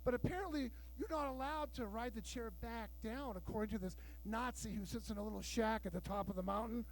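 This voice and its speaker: High-voiced man doing bawdy stand-up. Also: brisk, a little hiss or hum, poor audio. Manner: loud, bawdy storytelling with acted-out dialogue. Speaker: stand-up comedian. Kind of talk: stand-up comedy set. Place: live show room with audience.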